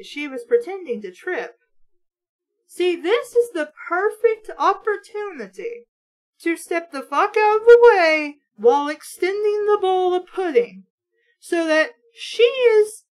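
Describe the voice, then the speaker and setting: High-voiced sarcastic woman reading aloud. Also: rough recording. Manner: sarcastic, exasperated, sweary, informal. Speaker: host of a mock book-reading show. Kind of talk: sarcastic read-aloud with running commentary.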